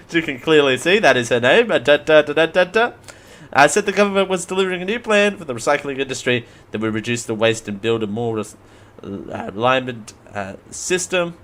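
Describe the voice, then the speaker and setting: A hoarse man hosting a casual live show, rambling, joking at himself and stumbling while he reads out news stories. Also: on the high side, lively intonation, normal speed, fairly bright tone, slight background noise. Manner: rambling, self-mocking, stumbles over names. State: hoarse after flu. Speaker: host. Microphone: headphones at a desk mic.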